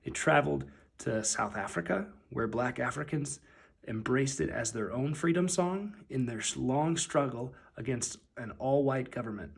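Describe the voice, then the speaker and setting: A man reading a children's picture book aloud as narrator, with deliberate, emphatic phrasing. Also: mid-range voice, creaky, slightly rough.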